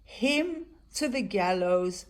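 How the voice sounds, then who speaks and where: A woman narrating a rhymed children's puppet story in an expressive, deliberate manner. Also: medium pitch.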